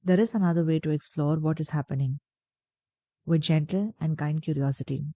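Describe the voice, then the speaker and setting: A woman guiding a meditation in a gentle, deliberate, soothing way, pausing between phrases. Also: sits low, no room sound, muffled recording. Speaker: meditation guide. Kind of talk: guided meditation narration.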